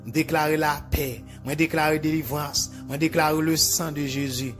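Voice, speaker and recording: A man's voice: fairly high pitch, fairly even intonation, measured pace, fairly bright tone. A Haitian man, a native Creole speaker, praying as a preacher in declarative, repetitive, incantatory phrases. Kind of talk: bedtime deliverance prayer, declarative. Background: music.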